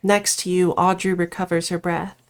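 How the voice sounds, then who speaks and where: A woman's voice: fairly low pitch, level tone, moderate pace, slight background noise. A woman reading a horror visual novel aloud as she plays, mixing storybook-like narration with casual commentary. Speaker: player narrating a game playthrough.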